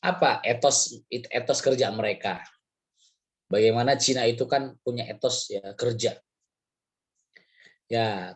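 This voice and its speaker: Hoarse guy with a cold, rambling through a religious talk, says ya a lot, mid pitch. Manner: chatty, rambling, frequent "ya" fillers. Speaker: Islamic study speaker. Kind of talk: casual religious lecture.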